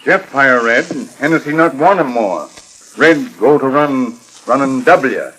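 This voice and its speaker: Bright forceful male voice, terse loyal scout, clipped broken English, grunted replies, vintage radio western, old disc recording. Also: mid-range voice, animated, unhurried, slightly rough, rough recording. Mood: matter-of-fact. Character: terse, loyal scout companion of masked rider. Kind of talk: 1940s radio western acting.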